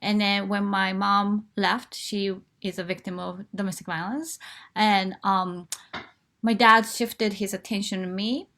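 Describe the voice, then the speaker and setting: A woman's voice: medium pitch, steady tone, unhurried, bright, forceful tone. A woman, from Japan, frankly telling her life story as a guest in a live online interview, with fillers and restarts. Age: 40s.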